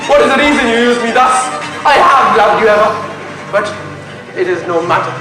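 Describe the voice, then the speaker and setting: A man's voice: sits high, expressive, moderate pace. A young man playing a brooding, passionate prince in a school stage Shakespeare play, with theatrical declamation. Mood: furious. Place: indoor stage in a hall. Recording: very echoey hall, loud music behind.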